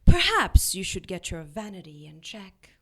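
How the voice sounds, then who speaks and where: A woman's voice: mid-range voice, sing-song, normal speed, fairly bright tone, slight background noise. A woman playing a haughty, menacing immortal demoness in an audio drama, with an imperious, taunting delivery. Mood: indignant, defiant.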